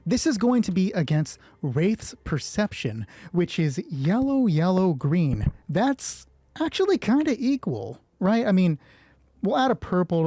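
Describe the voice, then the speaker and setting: Male solo RPG host. Dark, soft-edged, slightly rough voice; dramatic narrator who drops into casual rules talk. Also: fairly high pitch, no room sound. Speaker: solo roleplay game master and host. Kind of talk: tabletop roleplay narration mixed with casual rules explanation.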